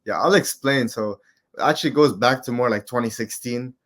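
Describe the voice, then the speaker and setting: A man in his twenties from Qatar, a guest in a casual interview, talking in a relaxed, rambling way with lots of fillers. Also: mid-range voice, brisk. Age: 20s. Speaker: guest, amateur MMA fighter.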